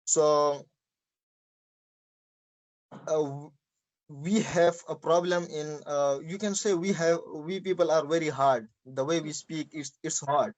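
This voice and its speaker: A man's voice: on the high side, takes it slowly, long pauses, a little mellow, muffled recording. Hesitant Pakistani man on a video call. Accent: Pakistani English. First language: Urdu. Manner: hesitant, many fillers and restarts. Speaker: interviewee. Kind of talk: casual explanatory answers. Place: small indoor room.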